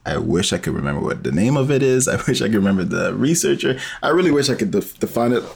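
A man with a very low-pitched voice, talking as a guest in a relaxed interview format. He explains his creative work informally, thinking aloud and building his point in loose, rambling steps. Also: quick pace, gravelly, breathy edge. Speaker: interview guest, sci-fi audio storyteller. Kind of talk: casual conversational interview.

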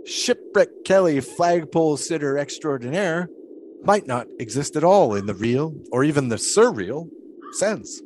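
A man's voice: a little high, animated, measured pace, a little mellow, slight background noise. An actor playing a grandiloquent, philosophical 1920s showman in an audio drama, musing in wordy, theatrical speeches. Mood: probing, insistent.

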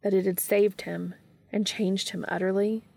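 A woman's voice: medium pitch, steady tone, moderate pace, creaky, warm tone, dry recording, clean studio sound. A woman reading a story aloud as scripted narration. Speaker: fan fiction reader.

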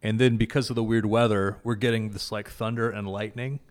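A man's voice: on the low side, fairly even intonation, fairly quick. A man casually and earnestly recounting a prayer gathering, with many fillers and restarts. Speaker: guest, prayer event organizer. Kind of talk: casual conversation about faith.

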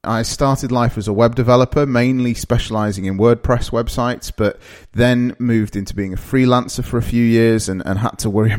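A man's voice: mid-range voice, a little mellow. A man with a northern English accent, in his thirties, introducing his podcast in a loose conversational way, with frequent fillers and restarts. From northeast England. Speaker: podcast host.

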